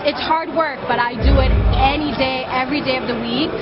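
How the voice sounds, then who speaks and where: A woman's voice: high voice, fairly quick. A young woman whose first language is Haitian Creole, speaking fluently and earnestly in an on-location interview at a busy watch party. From Boston, United States. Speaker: interviewee, cultural organization co-founder. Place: crowded nightclub watch party. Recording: slightly dull field recording in loud crowd. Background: loud music and cheering crowd.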